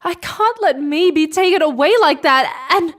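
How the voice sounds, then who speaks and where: A woman's voice: high-pitched, animated, moderate pace. A woman acting a determined, stubborn space explorer in a sci-fi audio drama, pleading and insistent. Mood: desperate.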